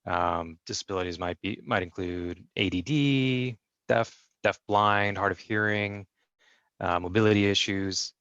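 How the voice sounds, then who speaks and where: A man voices a deaf guest's answers in the first person over a video call, his speech measured and full of fillers and restarts. His voice sits a little low. The audio is dry and muffled. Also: a bit bright, clear, resonant tone, dry recording. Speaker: sign language interpreter voicing a deaf guest. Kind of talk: first-person interpreting in an interview.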